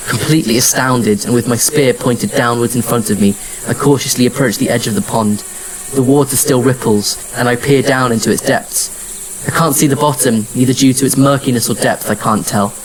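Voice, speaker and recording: A man narrating a scripted audio drama in the first person, with measured, serious storytelling. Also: mid-range voice, noisy background. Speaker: narrator and lead actor.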